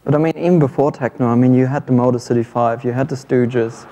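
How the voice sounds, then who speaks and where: A German-accented man asks measured questions with a dry touch of humor. His voice stays level and flat, with a mellow, dark tone. A long hall reverb hangs behind him. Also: medium pitch, slight background noise. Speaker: interviewer. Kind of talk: on-stage interview. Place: hall with an audience.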